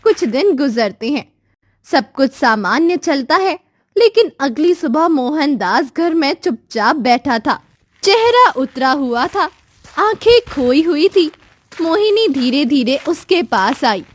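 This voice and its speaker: A woman's voice: sits high, sing-song, slow pace. A woman narrating a scripted moral story.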